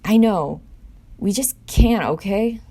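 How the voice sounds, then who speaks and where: Woman reading a defiant, earnest teenage boy, raised pitch. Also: expressive, slow pace, warm tone. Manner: earnest, protesting. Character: Gil, defiant, loyal, pleading sixteen-year-old orphan boy. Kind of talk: scripted scene table read.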